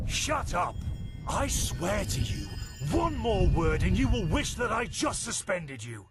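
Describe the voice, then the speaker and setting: An actor playing a blunt, weary master of a holy order in a fantasy game, dry and authoritative. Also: male voice, high-pitched, big pitch swings, takes it slowly, loud music behind the voice, exaggerated voice. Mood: stern, commanding. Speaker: mentor leading novices to a trial.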